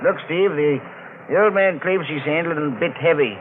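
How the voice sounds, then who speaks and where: A man playing a brisk, friendly ship's chief engineer in a 1940s radio drama, on an old recording. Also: on the high side, natural voice. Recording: tinny, noisy old-time radio recording.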